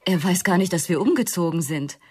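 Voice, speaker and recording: A woman's voice: fairly low pitch, animated, fairly quick, slight background noise, natural voice. An actress playing a weary young mother in a radio play who speaks in a tense, guarded way. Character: weary young mother, fearful of her brother.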